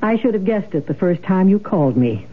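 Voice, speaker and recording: An actress playing a bitter, sharp-tongued wife, with a pointed, accusing delivery in 1970s radio drama style. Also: female voice, mid-range voice, big pitch swings, brisk, slightly rough. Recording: tinny, band-limited old radio broadcast.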